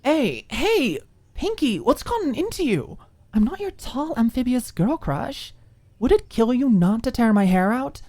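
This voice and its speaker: A woman's voice: on the high side, sing-song, measured pace, darkish tone, dry recording, pitched above the performer's own voice. A woman voicing a cocky, combative young college student who talks in a blunt, mocking way. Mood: annoyed.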